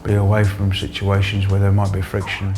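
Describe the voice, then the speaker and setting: Halting man with a very flat low voice. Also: music in the background. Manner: halting, repeats words. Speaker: interviewee, former detained patient. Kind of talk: unscripted interview testimony.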